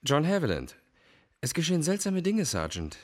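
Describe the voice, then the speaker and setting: An actor playing a suave, manipulative villainous psychiatrist in a radio crime drama, measured and courteous. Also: male voice, a little high, huge pitch swings. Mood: feigned concern.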